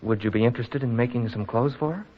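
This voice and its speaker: A man playing an earnest, gentle young countryman in a 1940s radio drama. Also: mid-range voice, fast, close to an everyday voice. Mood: eager, kindly. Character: lonely, friendly young countryman who makes toys. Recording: muffled, hissy old radio recording.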